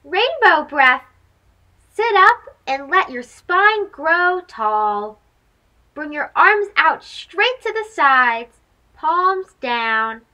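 A young woman reads a picture book aloud for small children. Her voice is strikingly high and swings widely in pitch. She takes her time, with a sing-song delivery and a clear, bright edge. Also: sing-song, extremely slow. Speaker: host of a children's read-aloud show.

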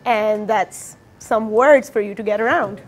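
A woman's voice: medium pitch, a little hiss or hum. A young Pakistani woman whose first language is Pashto, hosting a morning TV talk show with a bubbly manner and frequent laughter. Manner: bubbly, laughs often, teaches words slowly. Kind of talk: casual TV interview. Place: TV studio set.

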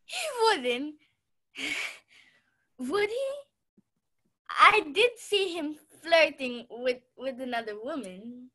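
A woman's voice: high-pitched, animated, drawn-out words, pauses often, bright, forceful tone, exaggerated voice. A woman playing a haughty, dramatic wealthy lady in a mystery radio play, with an imperious, theatrical delivery. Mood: wounded. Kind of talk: stage-style mystery radio play acting.

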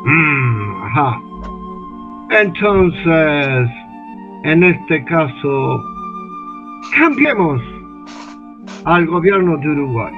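A scheming, manipulative ambassador, voiced by an older man, who talks in a high, drawn-out, persuasive way. It sounds like a radio play heard down a tinny phone line with faint music underneath. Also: sing-song, exaggerated voice. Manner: slow, insinuating, persuasive. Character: scheming male British ambassador, smooth and manipulative. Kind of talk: amateur radio drama acting over video call. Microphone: computer webcam mic on a video call.

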